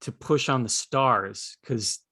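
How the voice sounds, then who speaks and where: Man, mid-pitched, dry recording, casual questioner, thoughtful, hesitant phrasing. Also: takes it slowly, sharp tone, dry recording. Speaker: participant asking questions.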